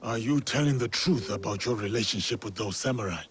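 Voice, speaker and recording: Man as a deep-voiced stern warrior. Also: slight background noise, lightly acted. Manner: measured, formal, terse. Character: stern, deliberate warrior of feudal Japan, measured and probing. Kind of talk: video game cutscene acting.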